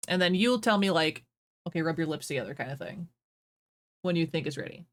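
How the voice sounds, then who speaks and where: A woman's voice: sits low, animated, a little fast. Woman, streamer, casual chat, giggly, playfully instructive.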